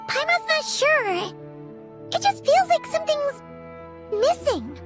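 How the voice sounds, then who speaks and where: A woman voicing a tiny, childlike fairy companion in a video game, squeaky, bubbly, cheerful and chatty. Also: very animated, slow, music in the background, full character voice. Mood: wistful. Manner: bubbly, exclamatory, speaks of herself in third person. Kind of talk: animated video game character acting.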